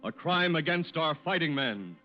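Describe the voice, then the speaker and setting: A man's voice: a little high, animated, moderate pace. An American man announcing from a script as a 1940s radio sponsor's spokesman, formal and emphatic. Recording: dull old radio broadcast with music. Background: music.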